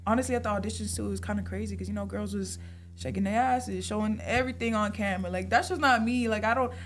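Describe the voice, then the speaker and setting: A woman's voice: medium pitch, fast talker, a little hiss or hum. Easygoing woman from Hawaii, rambling. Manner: relaxed, rambling, lots of "like" and "you know". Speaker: guest. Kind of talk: casual podcast chat.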